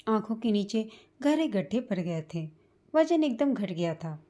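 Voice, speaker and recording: A woman reading a scripted, sentimental family story as a storyteller, in a measured manner. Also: mid-range voice, big pitch swings, dark tone. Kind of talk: scripted emotional story narration in Hindi.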